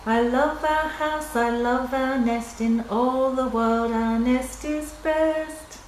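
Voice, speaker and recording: A woman acts out a devoted little husband bird from a storybook, earnest and tender-hearted. The lines come slowly and fairly high, in an echoey room. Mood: happy singing. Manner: simple, earnest storybook exclamations. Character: devoted male bird husband, cheerful singer, easily heartbroken. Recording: roomy echo with background noise.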